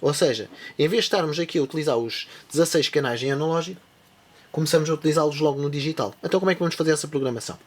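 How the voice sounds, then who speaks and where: A man's voice: fairly high pitch, very fast. Rambling European Portuguese man explaining gear. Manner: rambling, with many restarts and asides. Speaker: tech channel presenter. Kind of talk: casual spoken tutorial.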